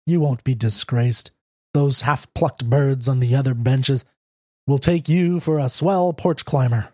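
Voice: mid-range voice, a little slow, darkish tone, dry recording, clean studio sound, close to an everyday voice. A reader voicing a proud, down-and-out male writer who talks bluntly and sardonically. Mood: sardonic. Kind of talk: audiobook character reading.

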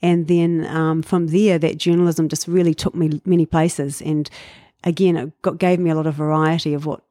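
A woman's voice: low-pitched, little pitch movement, normal speed, no room sound, clean studio sound. Reflective New Zealand woman in her fifties. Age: 50s. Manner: reflective, warm storytelling with frequent fillers and laughs. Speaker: guest. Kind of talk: casual interview chat.

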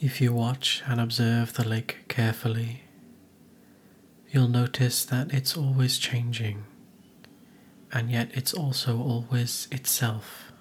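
A man narrating a guided meditation in a soothing, gentle, hushed manner with soft, breathy phrase endings. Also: mid-range voice, level tone, takes it slowly, slight background noise.

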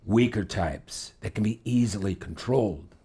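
An actor playing a middle-aged psychology professor in an audio drama, measured and patronizing, flattering with deliberate pauses. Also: male voice, fairly low pitch, husky. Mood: smoothly persuasive. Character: smooth, flattering, condescending manipulative male psychology professor. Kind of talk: fiction podcast audio drama acting.

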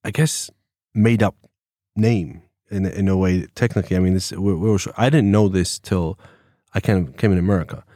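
A man's voice: low voice, moderate pace, few pauses, mellow, dry recording, clean studio sound. A man with an English accent, a podcast guest who talks in a chatty, wandering way, full of fillers and restarts. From England. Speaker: podcast guest, former police officer. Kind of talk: casual conversation. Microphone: studio microphone.

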